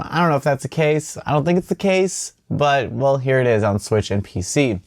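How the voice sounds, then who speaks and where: A man's voice: mid-range voice, darkish tone, dry recording, studio quality. A man hosting a gaming news show, running through the stories casually with chatty, self-doubting asides. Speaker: host of a weekly video game news show. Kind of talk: casual news rundown with off-the-cuff commentary.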